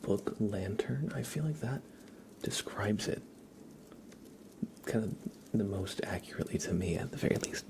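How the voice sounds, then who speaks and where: A man hosting an ASMR livestream, chatting casually in a breathy whisper and soft voice, with hesitant, bantering asides. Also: on the low side, animated, normal speed, pauses often.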